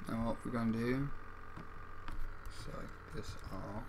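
A man giving a casual software tutorial in short, offhand step-by-step instructions. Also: medium pitch, fairly even intonation, a little slow. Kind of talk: casual screen-recorded tutorial. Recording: noisy home microphone screen recording.

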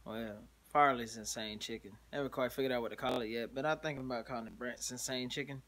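A man's voice: medium pitch, fairly even intonation, fast, fairly bright tone. A man, likely in his thirties, casually talking through a home cooking vlog in a laid-back, rambling way, filmed on a phone held at arm's length in a small kitchen. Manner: laid-back, rambling, lots of "you know what I'm saying". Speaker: home cook vlogger. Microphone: phone camera held at arm's length.

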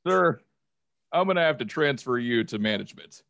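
A man's voice: medium pitch, lively intonation, vocal fry, fairly bright tone, no echo. Man putting on an over-polite, stilted call-center agent. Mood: strained patience. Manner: stilted, formal, halting. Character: over-polite, strained customer service phone agent. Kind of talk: improvised comedy phone-call skit.

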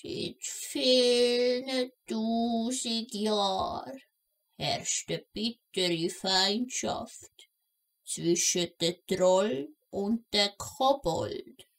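A woman playing an old kobold grandmother with a Swiss German accent in a children's audio play, telling tales deliberately, grumbly but indulgent. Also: fairly high pitch. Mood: storytelling. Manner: slow, deliberate storytelling with scolding asides.

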